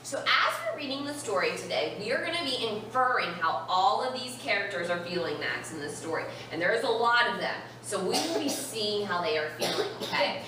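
A young woman teaching an interactive read-aloud to young children, expressive and instructive, stressing key words slowly. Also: mid-range voice. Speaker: primary school teacher. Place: elementary classroom, indoors. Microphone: far camera. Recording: roomy, noisy classroom, distant camera microphone.

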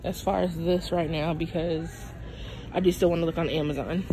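A woman's voice: on the low side, steady tone, normal speed, crowd noise, rough recording. A woman, chatting and thinking aloud while filming a shopping vlog on a phone in a pet store. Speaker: vlogger. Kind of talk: casual shopping vlog chat. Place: pet store aisle, indoors. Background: store music playing.

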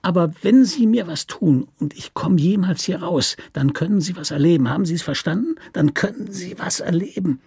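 An actor playing a hard, resentful convict in a radio play, with a nasal, whining, hissing voice that pleads and threatens. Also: male voice, sits high, lively intonation, normal speed, gravelly, hardly any pauses, a little mellow, husky, dry recording, clean studio sound, voice pushed up, heavily acted. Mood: threatening. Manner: pleading, aggrieved, snarling threats. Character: hard, lean male convict, resentful, cornered, whining and menacing.

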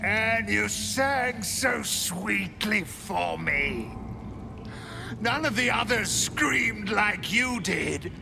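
A devoted, deranged ghoulish servant in a video game. The voice is high and swoops about wildly, with heavy gravel in it. It drawls in a gloating, childish, threatening way. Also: male voice, animated, very slow, music in the background, exaggerated voice. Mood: gloating, menacing. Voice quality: creaky, gravelly. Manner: drawling, refers to self in third person, gloating.

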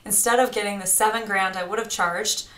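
A woman in her thirties coaching on grant writing in a casual, energetic talk, gesturing as she speaks from a small home studio. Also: mid-range voice, brisk, reverberant, poor audio. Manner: energetic and chatty, talks with her hands. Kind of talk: direct-to-camera teaching vlog.

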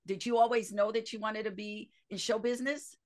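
A woman's voice: a little high, steady tone, fairly quick. Eager woman host on a headset. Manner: enthusiastic, eager. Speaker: podcast host. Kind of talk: interview hosting. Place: home office with bookshelves.

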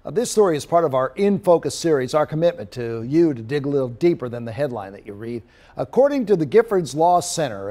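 A middle-aged male anchor reads the news in a TV studio. His voice runs slightly high, and his delivery is measured and formal. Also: darkish tone.

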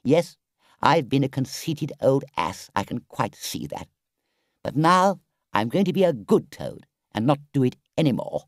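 Man playing a boastful, excitable toad gentleman, high-pitched. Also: a little slow, hardly any pauses, dry recording. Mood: humbly contrite. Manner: theatrical, swaggering, melodramatic.

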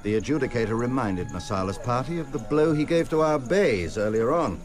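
An actor playing a loyal, calming aide who brings news in a radio drama. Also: male voice, medium pitch, a little fast, over music, lightly acted. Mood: reporting, calming.

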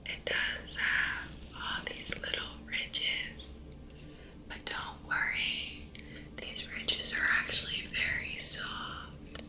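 Slow whispering young woman. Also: low-quality recording. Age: young adult. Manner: gentle, slow, soothing, reassuring whisper. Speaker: ASMR spa role-play host. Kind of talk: whispered ASMR. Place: small dim room indoors. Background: prominent music.

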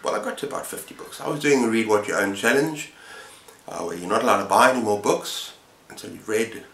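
A middle-aged man chatting casually to camera as a book vlogger, speaking haltingly with short pauses. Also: on the low side, lively intonation, fairly bright tone. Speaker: book vlogger, channel host. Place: book-lined room at home, indoors. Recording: roomy, echoing indoor sound.

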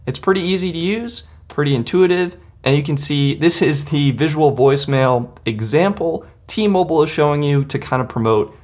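A man's voice: a little high, normal speed, hardly any pauses. American man giving step-by-step instructions. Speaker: tech tutorial presenter.

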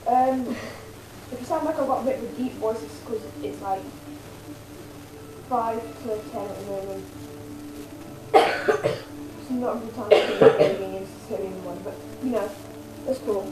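A woman's voice: fairly high pitch, a little slow, long pauses, noisy background. A young woman giving casual, rambling commentary as she plays a video game at home. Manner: rambling, filler-heavy chatter. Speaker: let's play host. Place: home room, filmed from a TV screen. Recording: echoey room with game music.

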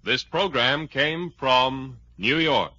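A man's voice: medium pitch, slow, bright, forceful tone, dry recording. A man announcing in scripted old-time American radio style. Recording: dull old radio transcription. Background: music.

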